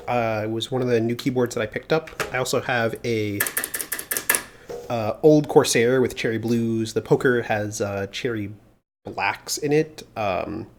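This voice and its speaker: Man, slightly congested voice, casual stream talk, thinking aloud, fillers, medium pitch. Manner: thinking aloud, relaxed, many filler pauses. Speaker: livestreamer doing security research. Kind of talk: casual live coding stream chat. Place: home desk with mechanical keyboard. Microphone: mounted desk studio mic.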